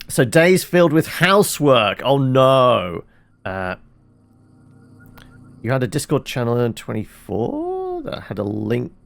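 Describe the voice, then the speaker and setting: A man's voice: on the low side, vocal fry. A man doing casual gameplay commentary as a streamer, musing aloud and answering his own questions. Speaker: video game streamer.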